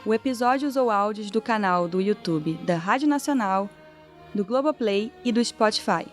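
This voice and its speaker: A woman from Brazil presenting a narrative podcast, reading her script in a measured, clear way. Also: medium pitch, expressive, soft background music.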